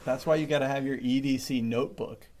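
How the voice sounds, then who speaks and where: A man co-hosting a podcast in casual, informal chat, jokey and quick to chuckle. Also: mid-range voice, fairly even intonation, darkish tone, a little hiss or hum. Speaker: podcast co-host.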